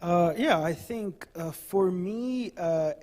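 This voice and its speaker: A man's voice: high-pitched, expressive, slow pace, a little mellow. A young man in his twenties from the Dominican Republic, an artist answering on a panel, hesitant with many fillers. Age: 20s.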